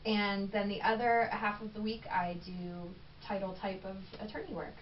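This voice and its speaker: A woman chatting openly as a podcast guest, with frequent ums and easy laughter. Her voice sits at an ordinary middle pitch. It sounds echoey and dull, as if picked up from across a room with faint noise behind. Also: steady tone, moderate pace, distant mic. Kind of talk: casual conversational interview.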